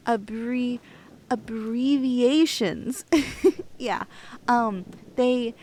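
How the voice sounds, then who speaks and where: Woman acting a tipsy, rambling young woman, swooping pitch. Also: no room sound, heavily acted. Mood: sheepish, tipsy. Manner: rambling, teasing, halting with giggles and trailing thoughts. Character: tipsy young woman cosplayer, playful, rambling, crushing on her friend. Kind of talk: ASMR roleplay acting.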